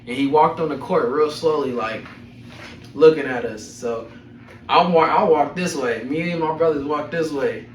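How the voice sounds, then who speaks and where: A man's voice: a little high, moderate pace, a little hiss or hum. Young man, echoey room, distant mic, slangy casual storytelling, profane, chuckly. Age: young adult. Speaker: storyteller host of a personal podcast.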